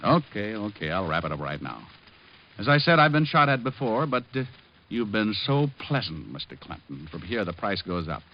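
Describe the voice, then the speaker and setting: A cocky, hard-boiled private eye in a vintage radio noir. His lowish voice swings through sardonic wisecracks with lively intonation, on a muffled old recording with faint music. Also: moderate pace, a little hiss or hum. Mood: sardonic, cool. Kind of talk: 1940s-50s radio noir acting and narration.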